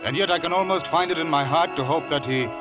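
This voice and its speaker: A male actor plays a wry, kindly gentleman doctor in a 1950s radio play. His voice is fairly high, with music clearly heard behind it on an old recording. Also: fast, close to an everyday voice. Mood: wry, relenting. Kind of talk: 1950s radio drama acting.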